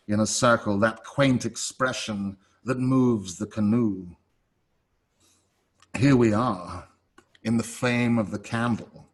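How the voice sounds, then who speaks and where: A man reading his own poetry live over a video call, in a measured delivery with dramatic pauses between short phrases. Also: on the low side. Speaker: poet reading own work.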